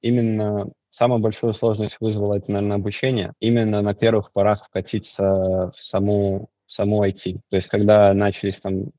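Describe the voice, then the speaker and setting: A man's voice: on the low side, level tone, brisk, few pauses, mellow, dry recording, highs cut off. A man in his twenties from Belarus, giving casual conversational answers as an interview guest. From Vitebsk region, Belarus. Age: 20s. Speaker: interview guest, Android developer.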